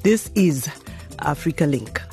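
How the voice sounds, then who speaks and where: A man's voice: on the high side, expressive, loud music behind the voice. A man hosting a radio news magazine from a studio, reading out listener comments. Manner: reads listener comments aloud, brisk and friendly. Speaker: radio host.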